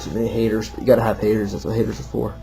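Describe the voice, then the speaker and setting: A man's voice: fairly low pitch, flat delivery, racing, creaky, warm tone, rough recording. A man giving an informal, rambling, self-deprecating walkthrough of something he built, off the cuff. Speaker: hobbyist builder showing a homemade project. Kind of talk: casual off-the-cuff demo narration.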